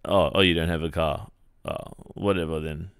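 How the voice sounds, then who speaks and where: A man's voice: a bass voice, fairly even intonation, moderate pace, creaky, fairly bright tone, smooth, dry recording, clean studio sound, heavily acted. An Australian man playing a friendly, teasing young football captain in a hesitant, casual ASMR boyfriend roleplay. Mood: shy, teasing. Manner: hesitant, casual, murmuring hums and chuckles.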